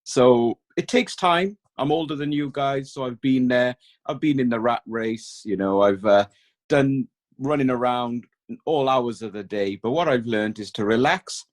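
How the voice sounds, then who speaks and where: A middle-aged man with a Welsh accent, a podcast guest who talks in a calm, laid-back way. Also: medium pitch. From Cardiff, Wales. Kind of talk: casual chat.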